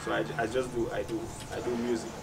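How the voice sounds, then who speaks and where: A man with a Cameroonian accent giving reflective, measured interview answers as a musician, often repeating phrases for emphasis. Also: mid-range voice, monotone, over music. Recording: distant mic, clearly noisy background ambience.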